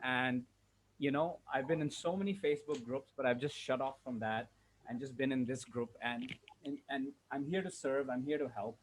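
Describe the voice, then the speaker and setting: A man from Hong Kong speaks to an online group like a coach sharing a testimonial. His voice sits at a medium pitch, and he comes across as earnest and enthusiastic. There is some faint noise behind him on the call. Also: normal speed. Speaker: public speaking trainer and coach. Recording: noisy online call, uneven level.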